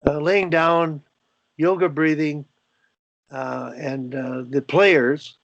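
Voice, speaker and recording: A man's voice: a little high, steady tone, takes it slowly, no echo. An older man with a Canadian accent, hosting a coaching discussion on a video call and talking in a rambling way, full of fillers and restarts. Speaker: host. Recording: dull, dry video call audio.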